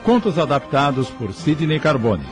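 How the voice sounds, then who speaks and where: A man's voice: a little high, very animated, moderate pace. A man making an emphatic scripted announcement for a radio programme. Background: loud music.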